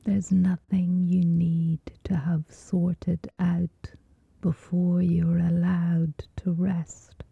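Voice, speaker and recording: Very slow, breathy woman guiding sleep meditation. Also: on the low side, little pitch movement, no echo, lo-fi sound. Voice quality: soft, breathy, whispery. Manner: soothing, gentle, long pauses between short phrases. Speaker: meditation guide. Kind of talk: guided sleep meditation, scripted.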